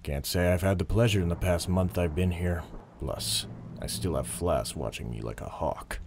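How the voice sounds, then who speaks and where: Casting: male actor for weary, principled detective, low gravel, dry and terse, audio drama. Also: moderate pace, background noise. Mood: businesslike, weary.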